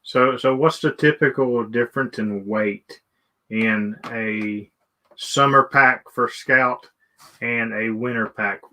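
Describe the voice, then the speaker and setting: A man's voice: mid-range voice, slow, pushed and bright. A man with an American accent hosting a casual chat, asking questions in a folksy, plain-spoken way. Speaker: live stream host.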